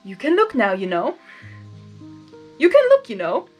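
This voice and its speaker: Woman as male trickster creature for storybook reading: gallant, teasing, coaxing, higher pitched, big swooping delivery. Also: huge pitch swings, a little slow, a little mellow, soft background music, exaggerated voice. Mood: exuberant, exasperated. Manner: theatrical, coaxing. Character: teasing, gallant male draconequus trickster, doting on his date.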